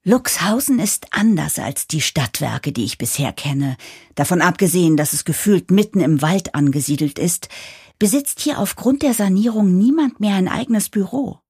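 An eager, idealistic new CEO in a radio play. Her voice is lowish and husky with some creak, and it moves in lively swings as she earnestly delivers her corporate vision. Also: moderate pace. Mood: reflective, nervous. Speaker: lead character and narrator. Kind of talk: German audio drama narration and dialogue.

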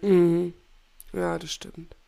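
A woman's voice: lowish voice, level tone, drawn-out words, gravelly, mellow. A woman in her twenties hosting a casual podcast chat, speaking with a cold and laughing often as she corrects herself. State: has a cold. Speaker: podcast host.

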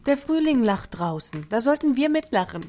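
A woman playing a patient, practical good fairy with gentle scepticism in a fairy-tale radio play. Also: on the high side, sing-song, normal speed. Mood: encouraging. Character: sensible, patient good fairy companion to a princess.

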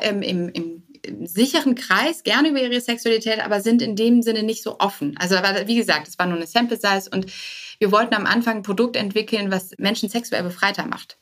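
A woman's voice: medium pitch, fairly bright tone. Woman explaining things in an interview. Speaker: guest, startup co-founder. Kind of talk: conversational podcast interview answers.

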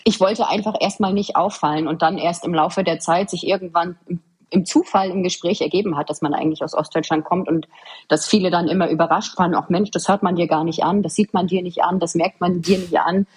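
A woman from eastern Germany with a husky voice, answering interview questions in an earnest, articulate and emphatic way. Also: fairly low pitch, steady tone, fairly quick, darkish tone, a little hiss or hum. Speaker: podcast guest, government official. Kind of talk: interview answers, explanatory conversation.

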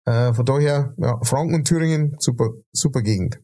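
A man with a Bavarian accent talks loosely on a podcast, his voice mellow and a little dark. He wanders through his points with plenty of hesitations and self-corrections. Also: mid-range voice, a little fast, husky. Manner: rambling, many fillers and restarts. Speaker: podcast co-host. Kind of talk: casual chat.

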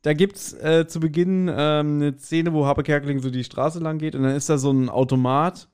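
A man co-hosting a podcast, telling anecdotes in casual, animated chat and acting out bits as he goes. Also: fairly high pitch, moderate pace, clear, resonant tone. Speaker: podcast co-host.

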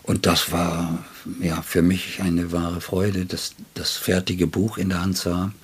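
An older man, a writer, reminisces in an interview in a deliberate, anecdotal way with long, detailed sentences. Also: low voice, flat delivery, husky. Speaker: writer and literary editor being interviewed.

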